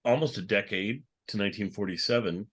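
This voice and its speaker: A man with a fairly low voice leads a casual panel chat among enthusiasts. He speaks informally and conversationally as the moderator. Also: a little fast, breathy edge.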